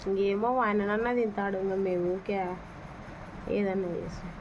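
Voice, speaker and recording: A woman's voice: medium pitch, little pitch movement, normal speed. A woman explaining things in a casual phone conversation. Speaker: prank caller. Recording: slightly muffled phone call, faint noise.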